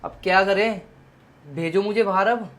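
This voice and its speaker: A woman voicing a nervous young male lover, speaking in a low put-on voice from a little way off the mic. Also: a little slow, a little hiss or hum, lightly acted. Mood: anxious.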